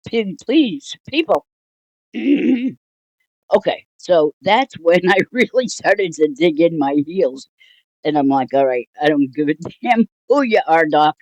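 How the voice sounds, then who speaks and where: An older woman telling a personal story casually, in a rambling, halting, wryly amused way. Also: fairly low pitch, animated, a little mellow, no room sound, rough recording. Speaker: storytelling vlogger.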